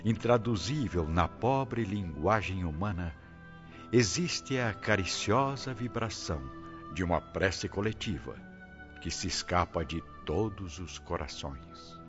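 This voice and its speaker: Brazilian guy narrating a radionovela, real deep voice, solemn bookish delivery, bit muffled w soft music. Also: lively intonation, slow. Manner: solemn, literary, declaimed. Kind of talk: scripted radio drama narration. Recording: dull audio, faint music behind.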